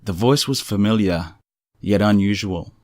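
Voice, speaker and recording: A low-pitched male narrator tells eerie first-person accounts from a script. His delivery is measured and serious, and the recording is close and dry. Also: dry recording. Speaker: narrator of strange true stories.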